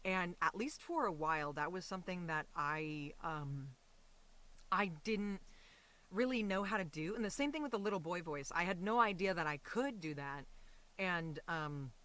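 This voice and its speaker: A woman talks casually as a guest in an interview. Her delivery is animated and loosely rambling, with the reflective feel of a performer talking through her own craft. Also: on the low side, normal speed.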